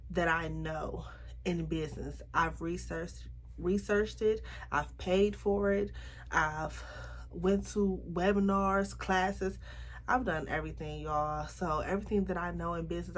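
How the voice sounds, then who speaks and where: Chatty woman, raspy from a cold. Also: on the low side. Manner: chatty, frank, drawn-out emphasis. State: getting over a cold, slightly raspy. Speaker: makeup artist and vlogger. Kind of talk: casual talk to camera.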